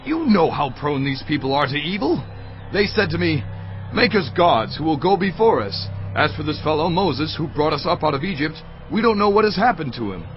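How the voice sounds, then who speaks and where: An actor playing Aaron, a defensive male priest who makes excuses in an animated, pleading way. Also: fairly high pitch, moderate pace. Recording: dull lo-fi audio with background music.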